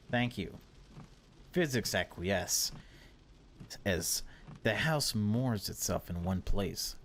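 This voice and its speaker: A man narrating a horror visual novel in a measured, unhurried way, with casual asides as a gaming video host. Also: deep voice, creaky, a little hiss or hum.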